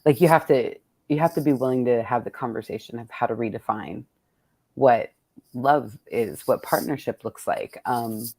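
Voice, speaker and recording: A woman's voice: very deep voice, moderate pace, a little mellow, breathy edge, no echo. A middle-aged woman in casual, reflective conversation, thinking aloud candidly and often repeating "like". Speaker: poet hosting a virtual book event.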